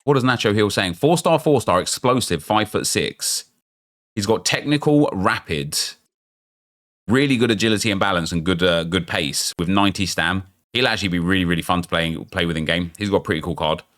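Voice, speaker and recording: A man, chatty and excitable, thinking aloud as he opens packs in a football game, losing his voice and getting unwell. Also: sits low, brisk. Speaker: gaming YouTuber. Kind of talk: casual pack-opening commentary.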